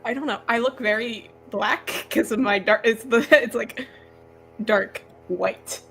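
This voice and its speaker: A woman's voice: a little high, a little slow, vocal fry, fairly bright tone, faint music behind. A woman co-hosting a casual book discussion livestream in a self-deprecating, conversational way. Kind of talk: casual chat about books.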